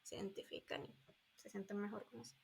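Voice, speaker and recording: A woman's voice: medium pitch, expressive, fast, vocal fry, a little mellow, breathy edge. A woman in her twenties from Colombia, a guest on a podcast, talking casually and often searching for her words. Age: 20s. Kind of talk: casual interview talk.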